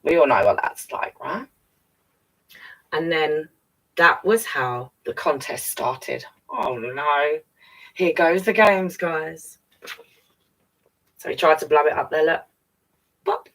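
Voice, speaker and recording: A woman's voice: sits low, animated, takes it slowly, long pauses, fairly bright tone, distant mic, rough recording. A woman reading a picture book to young children, playfully breaking off for chatty asides and questions to the listeners. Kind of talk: read-aloud story time with chatty asides.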